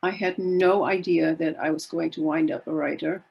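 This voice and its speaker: Older woman telling wry stories, gravelly. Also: low voice, fairly even intonation, normal speed, highs cut off. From Germany. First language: Polish. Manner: wry, self-deprecating, chatty. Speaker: guest speaker. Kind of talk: casual storytelling talk. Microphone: video call.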